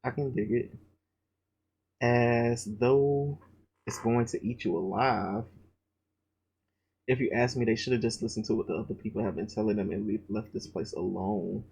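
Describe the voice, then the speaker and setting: A man's voice: on the low side, moderate pace, gravelly, pauses often, breathy edge. A man reading a visual novel aloud, breaking off into profane, slangy joking asides. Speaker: let's play gamer. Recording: loud background noise.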